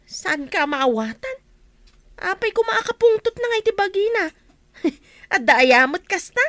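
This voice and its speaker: A woman's voice: extremely high pitch, big pitch swings, a little slow, a bit bright, dry recording, full character voice. An actress playing an affectionate, inquisitive older village mother in a radio drama. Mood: prying.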